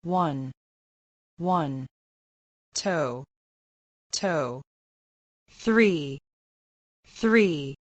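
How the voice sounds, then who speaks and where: A woman reading scripted language-drill phrases with deliberate, careful enunciation. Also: deep voice, no room sound. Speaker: English pronunciation model.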